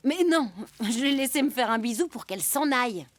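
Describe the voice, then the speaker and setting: A woman voicing a grumpy, sarcastic female house pet in an animated cartoon, exasperated and sardonic. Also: a little high, brisk, a little hiss or hum, natural voice.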